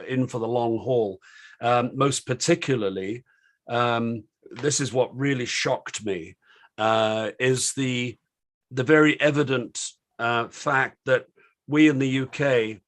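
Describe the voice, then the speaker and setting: A British man, slightly croaky, hosting an online panel discussion and talking in a hesitant, rambling way full of ums and restarts. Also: medium pitch, fairly bright tone.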